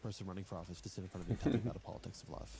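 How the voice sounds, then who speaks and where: A man's voice: sits low, vocal fry, a crowd in the background. A man interviewing a politician and asking pointed, challenging questions. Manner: challenging, quick. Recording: clip with background noise.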